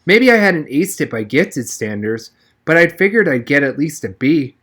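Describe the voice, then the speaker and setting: A man reading a novel aloud as an expressive narrator, with wry first-person storytelling. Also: a little high, normal speed. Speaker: reader, narrator. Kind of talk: expressive audiobook-style read-aloud of a novel.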